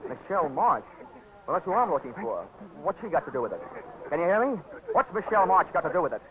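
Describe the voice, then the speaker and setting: A man's voice: on the high side, sing-song, fast. An actor playing a dry, wisecracking insurance investigator who narrates his own case in a 1940s radio drama. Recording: dull old radio broadcast with music.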